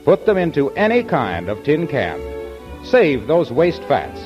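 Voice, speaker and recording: Animated, higher-pitched vintage radio announcer man. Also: measured pace, over music. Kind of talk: 1940s radio announcing, scripted appeal. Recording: muffled 1940s radio broadcast recording.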